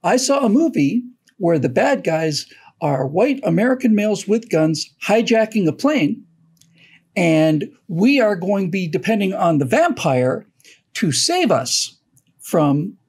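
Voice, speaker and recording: An older man hosts a casual commentary chat from a home setup. His voice sits fairly high with a husky edge. He tells stories animatedly and often restarts his sentences. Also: measured pace. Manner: animated storyteller, frequent restarts. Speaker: host.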